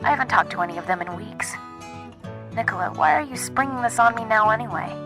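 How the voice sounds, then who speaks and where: A woman's voice: fairly high pitch, expressive, fast, over music, lightly acted. A woman acting a stubborn, guarded young woman in an audio drama, with a dry, exasperated manner. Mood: irritated.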